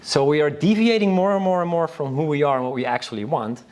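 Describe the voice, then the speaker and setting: A man's voice: on the high side, fast talker, a little mellow. A man from Northern Europe speaking as a panelist in an informal conference talk, enthusiastic and rambling, often restarting his sentences.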